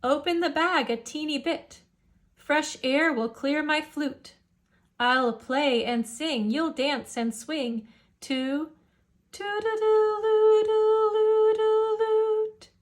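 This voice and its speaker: A woman's voice: sits high, slow pace, voice pushed up. A woman voicing a bold, cheeky little boy in a storybook, boastful and singsong. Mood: coaxing, cheeky.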